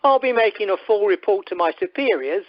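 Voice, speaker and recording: Muffled, dry telephone-conference sound carries an amateur actor as a stern male investigator. He speaks formally and with measured politeness, as if closing a case in a radio drama. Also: high-pitched, animated, no echo. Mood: grave. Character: calm, stern husband working as an undercover investigator. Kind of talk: amateur radio play acting. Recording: dull telephone-conference recording.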